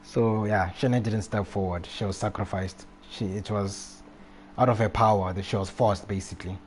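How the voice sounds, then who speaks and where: A man live streaming a video game, reading text aloud and commenting casually in a halting way. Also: lowish voice, steady tone. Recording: faint background noise, game ambience.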